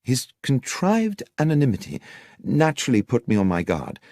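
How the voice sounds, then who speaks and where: An earnest young country vicar, acted out by a male narrator, anxious and unfailingly courteous. His speech is articulate and wordy, with lively rises and falls, in a close, dry audiobook sound. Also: mid-range voice, normal speed, no room sound. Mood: earnest, recounting. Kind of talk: audiobook character dialogue.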